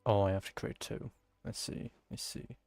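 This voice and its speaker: A man's voice: on the low side, flat delivery, moderate pace, vocal fry, a little mellow, no echo, soft background music. A man giving casual, offhand gameplay commentary, half muttering to himself as he plays. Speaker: video game player commenting on gameplay.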